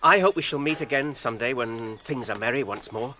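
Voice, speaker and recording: An actor in a radio drama playing a courteous, earnest, worried gentleman hobbit. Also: medium pitch, brisk, a little hiss or hum, close to an everyday voice. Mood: warm, wistful. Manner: polite, earnest.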